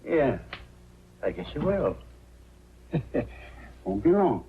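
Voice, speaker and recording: A man's voice: mid-range voice, big pitch swings, slow pace, breathy edge, heavily acted. An actor playing a breezy, irreverent small-town errand man, with folksy, wry delivery in a 1940s film style. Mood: wry, casual. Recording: noisy, dull 1940s film soundtrack.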